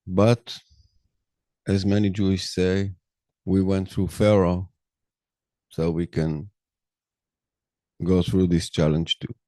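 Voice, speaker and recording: A middle-aged man with an Israeli accent, a native Hebrew speaker, hosting a personal monologue podcast, talking slowly with many pauses and fillers. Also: low voice, level tone, mellow, no room sound. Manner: slow, halting, many fillers. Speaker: podcast host.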